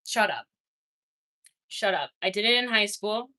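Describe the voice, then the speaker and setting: A young adult woman streaming, casually chatting with her viewers and reading their messages, laughing often and swinging between excited and quiet. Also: mid-range voice, measured pace. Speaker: livestreamer reading chat. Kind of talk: casual livestream chat.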